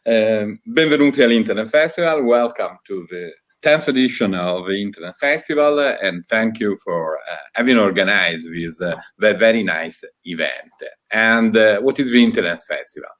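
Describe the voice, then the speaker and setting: Man giving a halting welcome. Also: fairly low pitch, a bit bright. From Italy. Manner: hesitant, many fillers. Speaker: university department director, guest speaker. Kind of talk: welcome speech.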